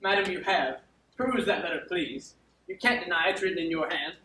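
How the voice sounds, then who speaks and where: Man playing a forceful, high-pitched indignant gentleman servant. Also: lively intonation, normal speed. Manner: emphatic, formal. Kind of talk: theatrical stage acting. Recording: echoey stage, distant mic, faint noise.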